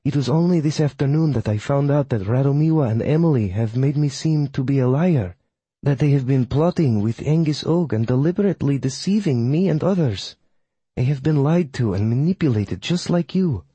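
A man's voice: medium pitch, animated, normal speed, mellow, husky, dry recording, voice pushed up. A man voicing a proud female witch who leads a coven, speaking with a Polish accent in a formal, clipped, disdainful way. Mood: indignant.

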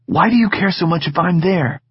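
A man's voice: high-pitched, a little fast, breathy edge, no echo, dull audio, voice pushed up. A man voicing a weary, reluctant teenage boy who answers curtly and dryly, in an audiobook reading. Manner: dry, reluctant, curt.

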